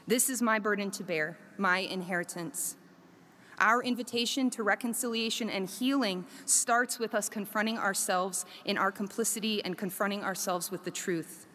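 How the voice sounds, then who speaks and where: A woman professor gives a prepared talk to listeners in an earnest, measured way, building her points through emphatic lists. Her voice sits at an ordinary middle pitch and carries a long reverb tail. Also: fairly bright tone. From Korea.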